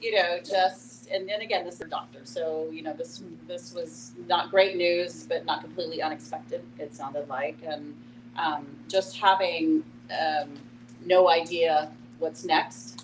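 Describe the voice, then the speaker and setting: A woman with a low-pitched voice leads a casual discussion, chatting and stressing points by repeating words. There is clear noise and hum behind her. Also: fairly even intonation, measured pace. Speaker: discussion moderator.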